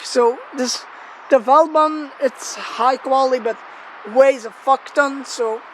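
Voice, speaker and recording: High-voiced woman vlogging outdoors. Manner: informal, sweary, self-deprecating. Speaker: gear tester presenting a megaphone test video. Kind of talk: casual rambling vlog chat. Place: outdoors in an open area near a road. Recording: noisy outdoor location sound.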